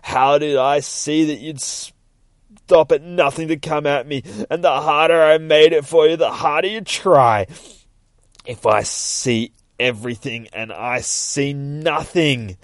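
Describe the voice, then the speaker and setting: A man's voice: on the high side, takes it slowly, no echo, pitched above the performer's own voice. A smug, corrupt judge from a comic-book tale, acted out by a male storyteller. He taunts in a theatrical, mocking way and stretches his words with relish. The sound is close and dry. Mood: triumphant taunting.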